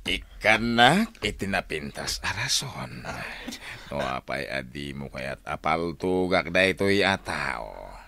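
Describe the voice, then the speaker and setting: Slow, menacing general. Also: male voice, a bass voice, sing-song, vocal fry, pushed and bright, heavily acted. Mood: threatening. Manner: slow, deliberate, threatening. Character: menacing male general, henchman of a crime boss. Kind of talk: radio drama acting.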